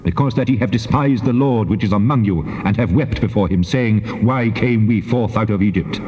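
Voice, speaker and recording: A stern divine patriarch played by a male actor speaks with solemn command in a scripture drama. His voice is dark and mellow, declaiming through long reverberation on slightly dull tape. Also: lowish voice, normal speed, lightly acted. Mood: stern wrath. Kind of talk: dramatized scripture reading in archaic English.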